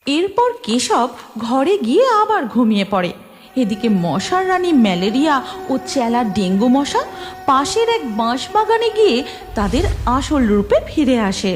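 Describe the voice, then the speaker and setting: A woman's voice: high voice, sing-song, normal speed, faint music behind. A woman narrating a scripted children's cartoon story.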